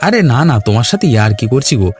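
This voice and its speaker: A man's voice: mid-range voice, sing-song, very fast, darkish tone, faint music behind, lightly acted. A man playing a brash, quarrelsome young villager in a cartoon, talking bluntly and goading in colloquial speech. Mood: teasing. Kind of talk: Bengali cartoon dialogue.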